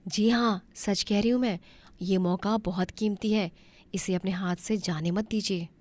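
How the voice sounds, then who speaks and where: A woman voicing a gentle, devout wife in a moral story, soothing and encouraging. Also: medium pitch, fairly even intonation, a little slow, natural voice. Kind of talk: acted moral-story dialogue.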